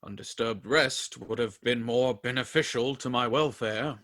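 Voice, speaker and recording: Brief: man playing a sardonic old miser, unhurried delivery, radio drama. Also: medium pitch, fairly bright tone. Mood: grumpy. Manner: dry, grumbling complaints. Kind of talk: community radio play acting.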